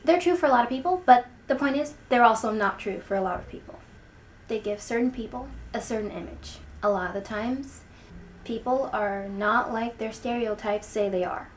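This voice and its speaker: A young woman with an American accent speaks straight to her vlog audience. Her pitch sits in the middle range for a woman, and she leans on key words with deliberate emphasis. There is some background noise in the recording. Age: young adult. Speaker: video blogger. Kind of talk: opinion vlog to camera. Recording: clear background noise, room ambience.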